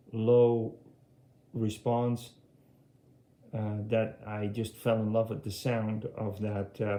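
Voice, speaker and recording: A middle-aged man from Europe giving a casual, unscripted walkthrough as a hobbyist, speaking haltingly and repeating words. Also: lowish voice, mellow. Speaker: audio hobbyist presenting his speaker collection. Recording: echoey room sound.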